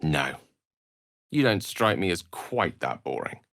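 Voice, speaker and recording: A man's voice: sits low, very animated, slow pace, fairly bright tone, no echo, studio quality, full character voice. A man playing an erudite, courteous and wry wizard in a video game, speaking in eloquent, theatrical sentences. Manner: eloquent, articulate, theatrical, wordy. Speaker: video game character voice actor.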